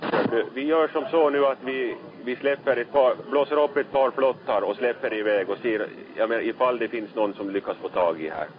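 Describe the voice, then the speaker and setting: A man, speaking as a ship officer reporting over marine radio. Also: medium pitch. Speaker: ship officer at a rescue scene. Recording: muffled, band-limited marine radio transmission.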